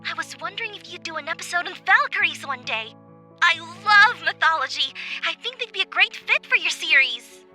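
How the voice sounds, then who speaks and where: Eager female fan, extremely high, piercingly bright voice, chirpy enthusiastic delivery. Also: lively intonation, fairly quick, faint music behind, full character voice. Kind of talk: audio drama listener message.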